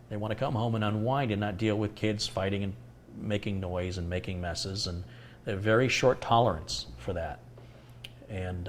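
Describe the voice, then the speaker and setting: Middle-aged male host, low voice, calm and reflective, light background hum. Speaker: tech livestream host answering viewer questions. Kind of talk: casual live Q&A chat.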